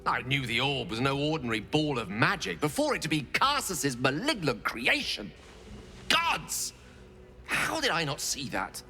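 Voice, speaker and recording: An actor playing an earnest, eloquent wizard, articulate and theatrical. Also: male voice, fairly high pitch, sing-song, moderate pace, pushed and bright, soft background music, full character voice. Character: earnest young wizard, eloquent, self-reproaching. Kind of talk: video game voice acting.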